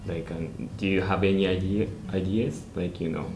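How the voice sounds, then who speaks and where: A man's voice: low-pitched, fairly even intonation, normal speed, darkish tone, slight background noise. A man with a Japanese accent, hosting a casual interview and speaking hesitantly with many fillers. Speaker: host. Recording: echoey room with guitar music.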